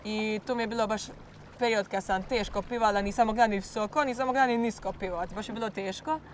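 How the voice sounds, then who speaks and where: A teenage Croatian girl speaks hesitant English with a Croatian accent, pausing to hunt for words and sometimes slipping into her native language. Her voice is a touch hoarse and mid-pitched. A faint hiss of noise sits behind her. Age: teens. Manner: halting, searching for English words. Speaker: klapa singer. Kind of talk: interview answers.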